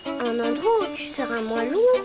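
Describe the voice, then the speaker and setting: A woman voicing a playful, teasing little girl character in a children's cartoon, cheerful, exclamatory and giggly, speaking clearly for young children. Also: on the high side, big pitch swings, moderate pace, loud music behind the voice. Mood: surprised, doubtful.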